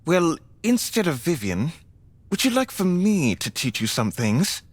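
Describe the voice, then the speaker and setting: A man's voice: a little high, big pitch swings, unhurried, breathy edge, no echo, full character voice. A man playing a genteel, polite vampire mentor in an audio drama, with formal, courteous phrasing. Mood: calm, tactful.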